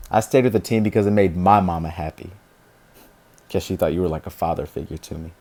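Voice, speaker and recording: An actor playing a defiant, streetwise young activist in an audio drama, with a sardonic, informal way of talking. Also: male voice, low voice, a little fast, mellow, no room sound. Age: young adult.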